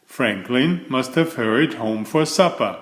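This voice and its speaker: A playful young storybook animal, voiced by a man speaks in simple childlike calls. The pitch is animated and the room is echoey. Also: a little slow. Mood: matter-of-fact. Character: playful young animal friends in a picture book.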